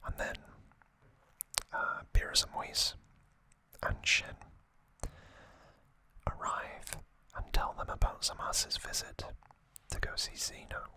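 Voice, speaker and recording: Man whispering slowly in ASMR style. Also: low-quality recording. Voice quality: breathy unvoiced whisper. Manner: soft, unhurried, deliberate short phrases. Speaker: ASMR channel host. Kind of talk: whispered ASMR story recap.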